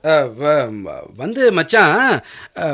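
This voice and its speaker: An actor playing a stingy, falsely friendly brother-in-law, glib and hesitant, in a Tamil radio drama. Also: male voice, fairly high pitch, wildly swooping pitch, drawn-out words, bright, forceful tone, heavily acted. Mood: evasive.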